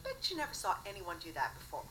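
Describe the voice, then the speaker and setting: A woman's voice: lowish voice, huge pitch swings, fast, bright, forceful tone, full character voice. A woman voicing a boastful, playful male time-traveller with an attempted London accent in a fan story reading. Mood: playful bragging. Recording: faint noisy playback of home recording.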